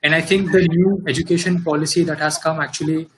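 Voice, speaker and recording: A man hosting a live online discussion, speaking in a measured, thoughtful way with frequent fillers. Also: on the high side, fairly even intonation, slight background noise, rough recording. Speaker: host.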